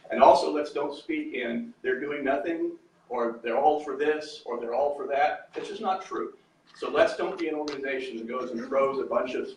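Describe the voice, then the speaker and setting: A man giving a loud, earnest impromptu speech to a community meeting. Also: medium pitch, a little hiss or hum. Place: meeting room. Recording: echoey room, mic far off.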